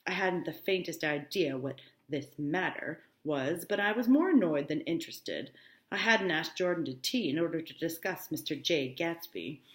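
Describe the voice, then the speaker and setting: A woman reads literature aloud like a classroom teacher. Her voice sits on the low side, and the room adds a clear echo. She steps out of the text to put it in simple, everyday words. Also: expressive, moderate pace. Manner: explanatory, breaks off to paraphrase in plain words. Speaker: high school English teacher. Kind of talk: reading a novel aloud with explanatory asides.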